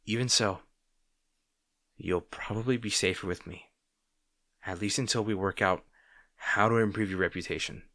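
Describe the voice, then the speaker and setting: A low-pitched male supervillain rival acted by a man in an intimate audio roleplay. He is sarcastic and exasperated, yet softly protective, with halting delivery. The sound is dry and close. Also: no room sound. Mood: reluctant, caring. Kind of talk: intimate audio roleplay acting addressed to the listener.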